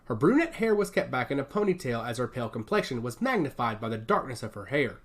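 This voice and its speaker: A man reading a scripted dramatic story as narrator, in an expressive, descriptive way. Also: mid-range voice, quick pace. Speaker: audio fiction narrator.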